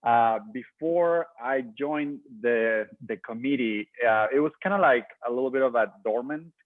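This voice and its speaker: A man's voice: medium pitch, unhurried, no echo. A man from Peru, chair of a sister city committee, introducing himself on a video call with frequent hesitations. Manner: hesitant, many fillers. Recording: tinny phone-like dry audio.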